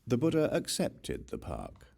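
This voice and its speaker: A man reading Buddhist scripture as a scripted audiobook narration, in a measured, solemn way with deliberate phrasing. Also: mid-range voice, wildly swooping pitch, creaky, a little mellow, breathy edge.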